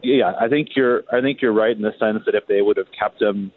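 A man's voice: fairly low pitch, fairly even intonation, brisk. A man speaking as a reporter guest on a sports show, talking through hockey analysis in a hesitant, word-repeating conversational way. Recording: thin phone line, roomy echo.

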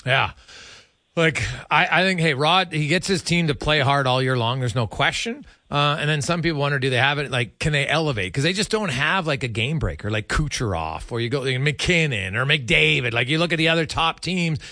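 Male sports radio host, emphatic hockey analyst, sharp bright tone, very dry sound. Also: a little high, animated, a little fast, dry recording. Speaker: sports talk show host.

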